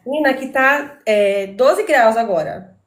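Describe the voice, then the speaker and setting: A woman's voice: fairly high pitch, unhurried. Giggly woman from São Paulo, echoey call. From São Paulo, Brazil. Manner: warm, giggly, affectionate. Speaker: host. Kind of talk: casual chat on a live video call. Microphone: video call.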